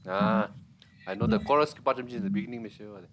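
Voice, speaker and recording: A young man from Bhutan co-hosting a podcast, chatting casually with quick, animated questions full of restarts. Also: lowish voice, slight background noise. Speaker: podcast co-host.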